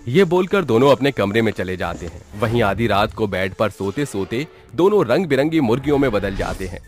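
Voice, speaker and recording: A man's voice: fairly low pitch, big pitch swings, a little fast, soft background music. A man narrating a scripted story in a dramatic storytelling style.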